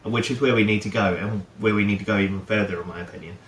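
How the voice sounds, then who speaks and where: Low-voiced man in an echoey room. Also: fairly even intonation, fast talker, a bit bright. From New Zealand. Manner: rambling, many fillers and restarts. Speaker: guest, head of procurement. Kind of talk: casual interview chat.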